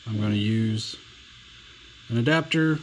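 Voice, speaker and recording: Slow, plainspoken man demoing a radio. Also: lowish voice, slight background noise. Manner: relaxed, plainspoken, slow and unhurried. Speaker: radio reviewer. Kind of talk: casual hands-on product demo.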